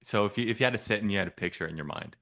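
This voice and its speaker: A man's voice: fairly low pitch, racing, fairly bright tone. A man coaching on a sales call, asking probing, persistent questions in a casual way.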